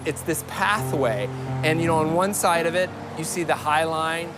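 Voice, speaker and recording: A man's voice: fairly high pitch, moderate pace, over music. A man with an American accent talking informally in a documentary interview.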